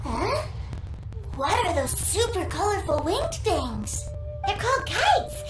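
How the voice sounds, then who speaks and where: A woman playing a small, excitable childlike character in a video game, chirpy and expressive. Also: extremely high pitch, slow pace, echoey room, over music, exaggerated voice, rough recording. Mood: curious.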